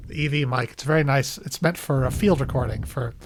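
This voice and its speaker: A man's voice: medium pitch, fairly quick, slight background noise. A man hosting a casual podcast at his home studio, chatty and upbeat, laughing often. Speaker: podcast host. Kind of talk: casual chat. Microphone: studio microphone.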